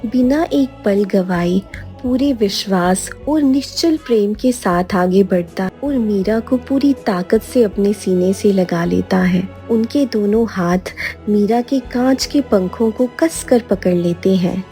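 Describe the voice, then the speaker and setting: A woman's voice: medium pitch, slow pace, warm tone, soft background music. A woman narrator reading a scripted dramatic fairy tale as a storyteller.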